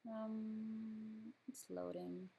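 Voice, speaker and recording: A young woman from Pakistan whose native language is Urdu, calmly guiding viewers step by step through an online application form as a student. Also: a little high, very slow, creaky, breathy edge, muffled recording. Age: young adult. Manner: plain step-by-step instructions with frequent restarts. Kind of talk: screen-recorded tutorial walkthrough.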